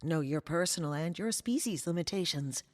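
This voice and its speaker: A woman plays a polished resort announcer in an audio drama, blandly welcoming and official. Her lowish voice rings out with long public-address reverb. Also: animated, moderate pace.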